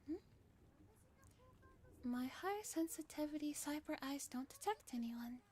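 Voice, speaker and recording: A woman puts on a young female android from a visual novel, earnest and eager. The voice is high and girlish, with a polite, naive manner. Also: animated, measured pace, darkish tone. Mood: curious.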